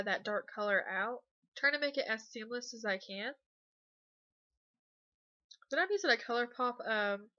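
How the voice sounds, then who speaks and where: A young woman talks through a makeup tutorial in an easy, halting way, full of ums and uhs. Her voice sounds congested from a cold, yet bright and somewhat high. The recording is dry and a little dull. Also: dry recording. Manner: relaxed, hesitant, many ums and uhs. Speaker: beauty vlogger. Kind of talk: casual makeup tutorial voice-over.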